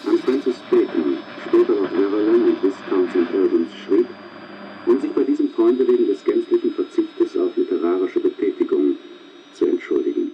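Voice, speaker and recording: A man's voice: fairly low pitch, expressive, normal speed. A man narrating a formal scripted introduction for a radio play. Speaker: narrator, announcer. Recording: dull, distant radio recording with hiss.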